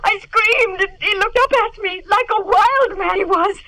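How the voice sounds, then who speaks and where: A woman's voice: very high-pitched, measured pace, bright, forceful tone, full character voice. An actress playing a terrified maid, a sobbing, panicked servant woman in a 1940s radio drama.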